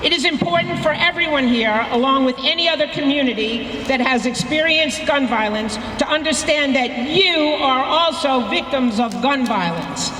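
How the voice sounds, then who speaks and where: A woman's voice: high voice, animated, a bit bright. A middle-aged woman giving a prepared, emphatic speech at an outdoor rally. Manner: measured, earnest, emphatic. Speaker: rally speaker. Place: outdoor rally in front of a courthouse. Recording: echoey public address outdoors, crowd noise.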